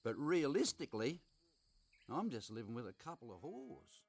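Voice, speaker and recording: A gruff farmer uncle from a cartoon, voiced by a man, laid-back and dryly joking. The voice sits at a middle pitch and moves around a lot, with light noise and insect ambience behind. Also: big pitch swings, normal speed. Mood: wry.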